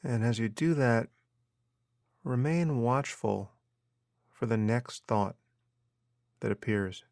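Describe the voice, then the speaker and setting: A man guiding a meditation as a teacher, giving measured instructions with unhurried pauses. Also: mid-range voice, creaky, a little mellow, dry recording, clean studio sound. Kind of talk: guided meditation narration.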